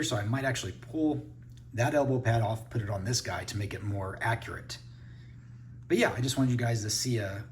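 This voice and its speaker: A man's voice: medium pitch, a little hiss or hum. A man giving a casual unboxing review, thinking aloud as he handles the toys. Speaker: toy reviewer.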